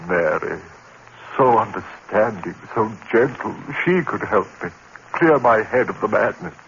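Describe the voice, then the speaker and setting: A haunted male lecturer sliding into madness narrates in a crisp, bright voice that breaks into frantic cries. The old radio recording sounds dull and carries a faint hiss. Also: fairly low pitch, animated, measured pace. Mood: brooding narration. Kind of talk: 1940s radio acting.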